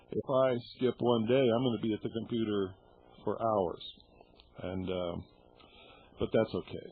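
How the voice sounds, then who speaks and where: A middle-aged radio host speaks bluntly and with open indignation, never shy of a curse word. His voice is husky and a little rough. It comes through a thin, tinny shortwave signal. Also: lowish voice. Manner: blunt, indignant, swears freely.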